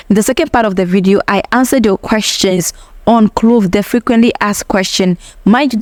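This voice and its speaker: A woman's voice: medium pitch, darkish tone, no room sound. A woman vlogger casually walking her viewers through a home hair-care recipe, chatty and instructive, often calling them "guys".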